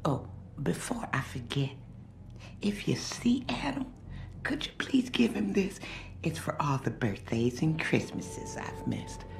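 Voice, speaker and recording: Creaky, low-voiced, forgetful old lady. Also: big pitch swings, unhurried, in a whisper, exaggerated voice. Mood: tender. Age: older. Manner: wandering, doddering. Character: forgetful, kindly elderly woman, former nurse, rambling. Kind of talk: video game voice acting.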